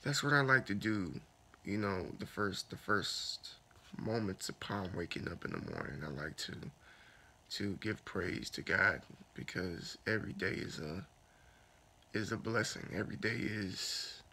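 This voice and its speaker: A man in his thirties lying in bed in a dark bedroom, talking softly and earnestly into a phone held close in a raspy morning voice, then praying aloud. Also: sits low, expressive, slight background noise. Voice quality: gravelly. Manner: soft, unhurried, earnest with many restarts. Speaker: fitness vlogger. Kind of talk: casual morning talk and spoken prayer. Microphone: phone camera held close.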